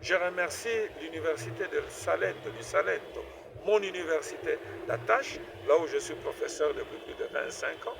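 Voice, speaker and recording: A middle-aged man from Congo answers interview questions at a public event, pitched a little above the usual. He keeps stalling on fillers and repeating small words before going on. The recording is noisy, with vehicles heard behind him. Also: moderate pace, gravelly. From Democratic Republic of the Congo. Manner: hesitant, many fillers and stuttered repeats. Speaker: professor and inventor interviewed at a forum.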